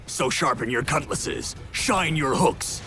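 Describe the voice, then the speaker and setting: An actor voicing a bombastic pirate-adventure trailer announcer in a booming, theatrical style. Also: male voice, takes it slowly, pushed and bright, faint music behind. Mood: bombastic, hyped. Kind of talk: dramatic movie-trailer voice-over.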